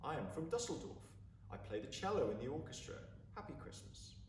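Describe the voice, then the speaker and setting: A warm, thoughtful German officer from a war storybook, voiced by a man reading aloud. He speaks politely and earnestly, with lively pitch movement, in a roomy, slightly distant recording. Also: brisk. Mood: friendly. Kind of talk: storybook character dialogue. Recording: roomy, distant mic, faint background noise.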